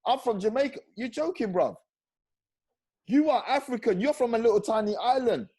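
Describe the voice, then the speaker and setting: A man holds forth on a video-call panel. His voice is mellow and dark in tone. He grows more impassioned as he goes, pushing hard on key words. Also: very high-pitched, lively intonation, normal speed, slightly rough. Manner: impassioned, rising to loud emphatic peaks. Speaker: panel guest, former professional footballer. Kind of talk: passionate panel discussion.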